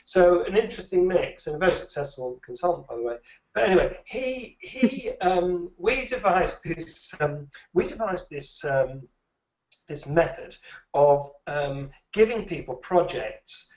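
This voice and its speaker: A man, a recruitment trainer, answers questions at an easy pace and circles back on his words as he thinks. His voice sits a little high for a man. It is recorded from a distance in an echoey room, with a dull, muffled sound. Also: lively intonation, measured pace, a little mellow. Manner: thoughtful, repeats words while thinking. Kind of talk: conversational interview answers.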